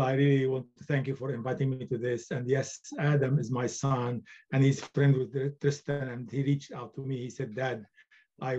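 A man's voice: mid-range voice, mellow, a little hiss or hum. Older Lebanese-accented man on a muffled call. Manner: earnest, halting with many fillers. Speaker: researcher guest. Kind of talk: video call storytelling. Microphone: laptop mic on video call. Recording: dull lo-fi call audio.

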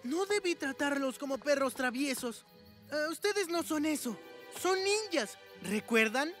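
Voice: sits high, animated, a little slow. An actor voicing a proud young boy villain in a Latin American Spanish cartoon dub. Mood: reluctant, conciliatory. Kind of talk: animated cartoon dub acting. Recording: loud music behind.

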